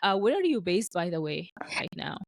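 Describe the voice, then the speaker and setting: A young woman hosting a podcast, Arabic her first language, chats eagerly and often restarts her sentences. Her voice is a little low and sounds dry and close. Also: big pitch swings, moderate pace, gravelly, no room sound. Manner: warm encouraging interjections, laughs often, restarts. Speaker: podcast host. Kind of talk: casual conversational interview.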